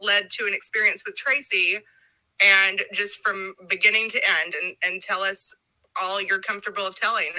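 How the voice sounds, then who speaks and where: A woman hosting a podcast, putting her questions to a guest in a polite, friendly way that gently steers the talk. Her voice is very bright and crisp and sits in a mid pitch. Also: fairly even intonation, moderate pace, low-quality recording. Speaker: podcast host. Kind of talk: recorded podcast interview.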